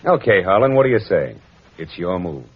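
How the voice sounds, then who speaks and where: An actor with an American accent playing a tough, wisecracking private detective in a vintage radio drama, talking in sardonic, cynical slang. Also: male voice, low-pitched, big pitch swings, moderate pace, husky, heavily acted. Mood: commanding, hard. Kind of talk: hardboiled 1940s-50s radio detective acting and narration. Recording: muffled vintage radio recording, music underneath.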